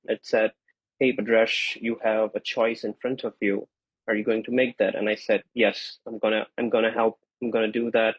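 A man in his twenties whose first language is Gujarati, a podcast guest giving earnest, grateful answers with frequent pauses and repeats. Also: on the low side, husky, no echo, poor audio. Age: 20s. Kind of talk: conversational interview answers.

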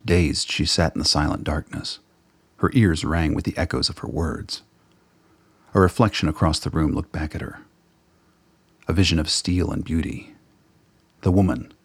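Man, narrator, low-pitched, husky, close dry sound, audio drama storytelling. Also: moderate pace, long pauses, dry recording.

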